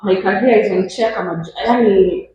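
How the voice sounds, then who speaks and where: A woman's voice: mid-range voice, moderate pace, mellow, echoey room, highs cut off, slight background noise. A woman aged twenty with a Kenyan accent, a guest on a casual panel chat, insistently repeating her point. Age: 20s.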